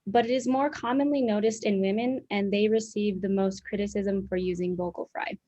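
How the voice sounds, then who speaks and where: A woman's voice: mid-range voice, steady tone, a little fast, mellow. Young woman on a dull lo-fi mic. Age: young adult. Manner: clear, explanatory reading. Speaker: student presenter. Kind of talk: scripted educational narration. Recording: dull lo-fi sound.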